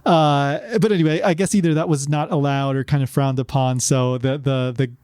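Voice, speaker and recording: A man's voice: medium pitch, steady tone, moderate pace, a little mellow, smooth, no echo, clean studio sound. A man hosting a podcast, chatting casually on his own and rambling with lots of fillers and restarts. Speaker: podcast host. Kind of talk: casual solo podcast talk.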